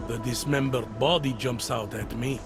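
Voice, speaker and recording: A man's voice: medium pitch, fairly even intonation, moderate pace, vocal fry, music in the background, close to an everyday voice. A man acting a world-weary, middle-aged police detective in a video game, with a hesitant, wry, conversational delivery. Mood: wry, musing. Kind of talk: video game cutscene dialogue.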